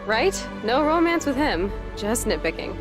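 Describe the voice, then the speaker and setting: Whimsical young female game character, high and very lively, casually teasing, loud music behind. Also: measured pace. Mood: playful. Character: playful, dreamy, teasing young woman scientist. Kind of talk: video game cutscene acting.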